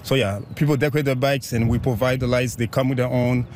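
A man's voice: medium pitch, steady tone, a little mellow. Upbeat man from Haiti, faint traffic behind. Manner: upbeat, laughs often, quick bursts. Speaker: bike ride organizer. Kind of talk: casual interview.

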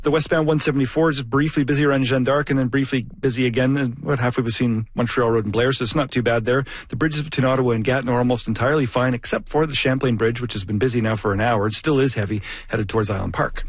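A man's voice: mid-range voice, steady tone, fast talker, few pauses, no room sound. A man giving a live radio traffic report, rattling off roads in a conversational way with fillers.

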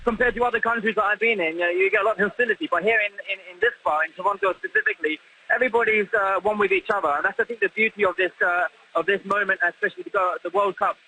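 Fast excited British man on the phone. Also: sits high, slightly rough. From Britain. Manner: excited, rambling with restarts. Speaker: bar manager interviewed by phone. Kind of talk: live phone interview. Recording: phone line with noisy crowd behind. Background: crowded bar noise.

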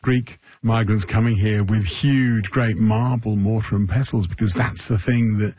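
Low, husky man interviewing. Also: steady tone, measured pace, a little mellow, dry recording. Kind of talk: conversational interviewing.

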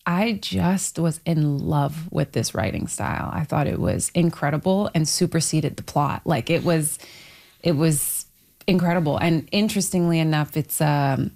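A woman with a low-set voice shares her views in a casual discussion. She is candid and reflective, leaning on key words for emphasis as she thinks aloud. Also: moderate pace, creaky, darkish tone. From Toronto, Canada. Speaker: book club member on a discussion panel.

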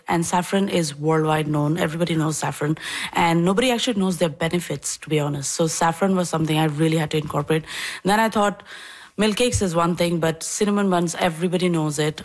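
Lively woman from Bangladesh telling her story. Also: on the low side. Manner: animated storytelling, acts out quoted people. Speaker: dessert business owner, guest. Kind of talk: casual interview. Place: her home kitchen.

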